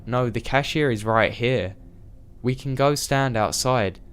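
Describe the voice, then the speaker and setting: A man voicing a menacing male stranger who plots to grab someone, in a low, scheming tone. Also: a little slow, clean tone, natural voice.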